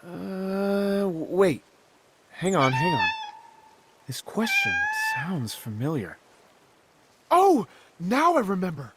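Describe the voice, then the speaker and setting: A man's voice: sits high, wildly swooping pitch, takes it slowly, long pauses, heavy background noise, full character voice. A man playing a helpful, modest craftsman friend in a video game, earnest and a little hesitant as he explains things. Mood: puzzled, earnest. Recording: loud background music.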